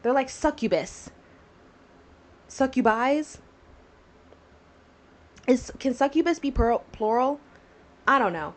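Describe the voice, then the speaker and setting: A woman's voice: high voice, animated, slow, pauses often. A woman in her twenties hosting a solo podcast, talking casually and rambling through tangents with many fillers. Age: 20s. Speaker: podcast host.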